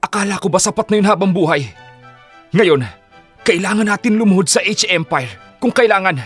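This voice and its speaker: A man's voice: sits high, animated, moderate pace, a bit bright, slightly rough, soft background music, heavily acted. A man playing a desperate, guilty, scheming husband in an audiobook drama, speaking tensely and defensively.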